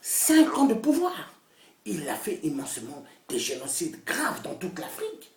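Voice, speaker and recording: Woman activist, fiery rant, wildly lively pitch, recorded from a distance. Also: measured pace. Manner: fiery and repetitive, swinging from loud outbursts to hushed whispers. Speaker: political activist. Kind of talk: impassioned political rant to camera. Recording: roomy echo, voice far from the mic.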